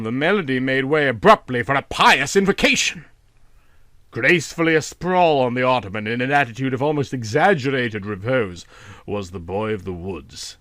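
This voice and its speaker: A man narrating a literary story from a script in a dramatic, expressive storytelling manner. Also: mid-range voice, dry recording, clean studio sound. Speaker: audiobook narrator.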